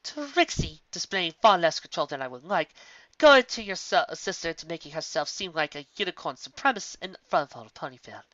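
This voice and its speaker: A man voicing a regal, ancient female alicorn princess who speaks in measured, formal royal diction. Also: on the high side, very animated, sharp tone, dry recording, highs cut off, pitched above the performer's own voice. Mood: stern.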